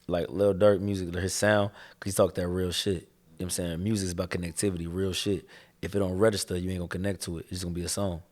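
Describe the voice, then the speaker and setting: Low-voiced fast-talking young man. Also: little pitch movement. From Chicago area. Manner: laid-back slangy talk with frequent "you know what I'm saying" and laughs. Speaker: rapper guest. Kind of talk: casual interview.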